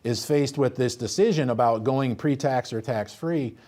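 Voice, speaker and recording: A man's voice: medium pitch, normal speed. Man explaining retirement taxes. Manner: steady, explanatory, walks through number examples. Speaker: financial advisor. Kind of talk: explaining retirement tax planning.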